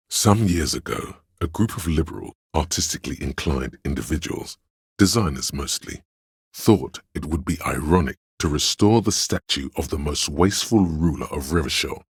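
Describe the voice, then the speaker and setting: A man voicing a wry, grandiloquent, erudite inner voice of trivia in a video game, lecturing in measured scripted narration. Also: a bass voice, breathy edge, no room sound, clean studio sound, exaggerated voice.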